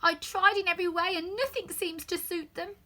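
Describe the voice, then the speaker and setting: A woman's voice: fairly even intonation, fairly quick, sharp tone. A woman voicing a fretful pigeon that shrieks and scolds while guarding its nest. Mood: scolding. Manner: shrill, indignant, scolding.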